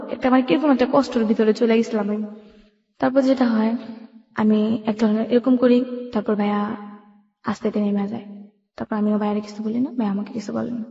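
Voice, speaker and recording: A Bangladeshi-accented woman tells her own life story to an interviewer in plain, colloquial, heartfelt speech. Her voice is fairly high and stays rather flat in pitch as she talks quickly. The recording has a hall-like echo. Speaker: interview guest. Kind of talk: personal life-story telling. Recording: echoey hall, slightly dull sound.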